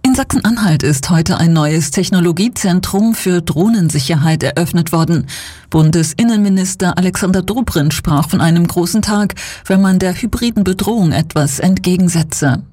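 Measured female newsreader. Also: on the low side, slightly rough. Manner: even, measured. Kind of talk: scripted news reading.

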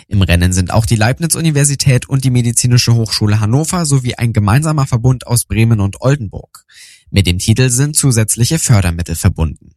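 A man reading regional radio news in a measured, neutral way. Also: mid-range voice, darkish tone, dry recording, clean studio sound. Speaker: newsreader.

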